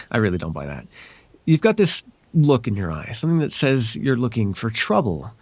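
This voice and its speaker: A man voices a charming fae traveler with a softly husky, swooping voice. He is courteous, playful and a little evasive, flirting gently in an intimate ASMR roleplay. The sound is close and dry. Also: medium pitch, huge pitch swings, normal speed, dry recording. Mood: teasing, mysterious.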